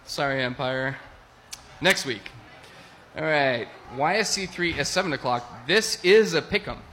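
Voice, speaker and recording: Bright-voiced man hosting a casual podcast. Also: on the high side, a little slow, a little hiss or hum. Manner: relaxed, announces matchups and picks. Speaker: podcast host.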